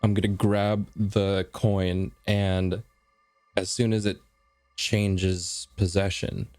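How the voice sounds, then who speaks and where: A man improvising casually as a player in a tabletop game, halting as he thinks aloud. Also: deep voice, little pitch movement, darkish tone, dry recording, soft background music. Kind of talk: casual improvised role-play.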